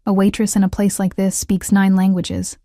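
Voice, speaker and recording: Mafia boss, male, controlled and calm, read by a woman narrator, dark, even tone, dry close sound. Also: mid-range voice, normal speed, no room sound, close to an everyday voice. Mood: cool. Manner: calm, quietly probing.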